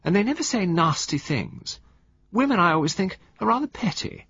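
A sweet, drawling young society woman gushes at everyone around her, as a male reader puts her on. The voice sits high and swoops a lot, a little husky. The recording is dry and dull. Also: very animated, moderate pace, fairly bright tone, no room sound, heavily acted. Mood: gushing.

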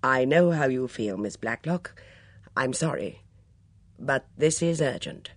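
A woman's voice: a bass voice, lively intonation, measured pace, no room sound, heavily acted. A woman voicing a businesslike, insistent male police inspector in an audiobook story, formal and curt. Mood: urgent.

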